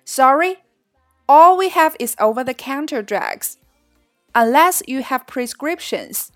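A helpful pharmacist, voiced by a woman reading a dialogue, answers in a high, clear voice with careful diction. Faint music sits in the background. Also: expressive, takes it slowly, a bit bright, no echo, clean studio sound, exaggerated voice.

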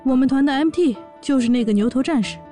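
A woman's voice: high-pitched, quick pace, dark tone, faint music behind, lightly acted. A woman playing a brash, boastful pregnant orc warrior, a sassy and bossy older sister, in a fan audio drama. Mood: nostalgic, boastful. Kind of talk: audio drama acting and narration.

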